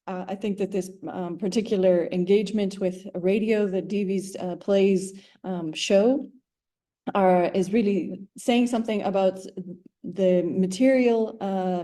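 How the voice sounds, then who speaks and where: A woman presenting an academic talk in a halting, tentative way. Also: mid-range voice, dark tone.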